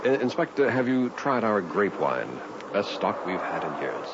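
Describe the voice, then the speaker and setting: An actor playing a wealthy, anxious French count with a grave, dramatic delivery in an old-time radio play. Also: male voice, on the low side, very animated, moderate pace. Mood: uneasy, courteous. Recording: muffled, very noisy vintage radio recording.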